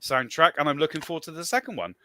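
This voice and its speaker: A man's voice: fairly high pitch, very animated, fast talker, sharp tone. A man with an English West Country accent, chatting and joking in a casual group talk, swearing freely and laughing often.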